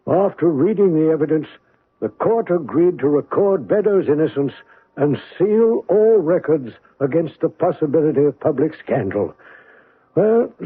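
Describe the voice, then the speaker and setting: A man playing a genial, earnest gentleman companion who also narrates, in a radio mystery drama. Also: fairly high pitch, breathy edge, phone-quality sound, exaggerated voice. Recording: thin band-limited old radio broadcast.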